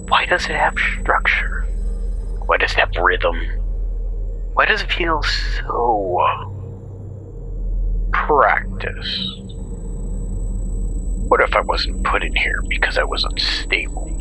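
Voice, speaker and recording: Slow, unhinged whispering asylum patient. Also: male voice, mid-range voice, low-quality recording. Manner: halting, breathy whispers breaking into louder outbursts. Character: unhinged confined male patient who believes he broadcasts. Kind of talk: theatrical horror monologue.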